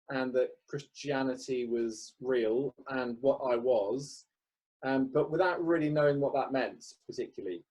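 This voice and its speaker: Vicar, hesitant and thoughtful. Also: male voice, mid-range voice, darkish tone, breathy edge, poor audio. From Wales. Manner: hesitant, many ums and restarts. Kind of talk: relaxed interview answers.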